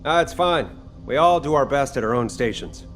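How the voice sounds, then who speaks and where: A man's voice: medium pitch, animated, moderate pace, a bit bright, soft background music, close to an everyday voice. An actor playing a cocky, exasperated young male soldier in a video game, brash and teasing. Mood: casual, teasing.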